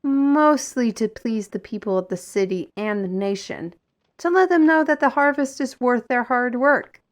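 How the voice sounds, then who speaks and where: A woman's voice: fairly high pitch, normal speed, natural voice. A woman playing a wry, businesslike colleague in a fantasy audio drama, speaking in a dry, explanatory manner. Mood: matter-of-fact explaining.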